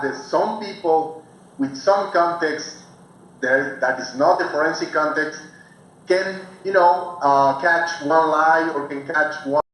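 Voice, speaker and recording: A Mexican guest lecturer, native in Spanish, speaking haltingly in a slightly high voice over a remote call played into a very echoey room. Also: male voice, a bit bright. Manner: halting, searching for English words. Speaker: guest speaker, forensic researcher. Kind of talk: informal public lecture. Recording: very echoey, faint noise, remote video call.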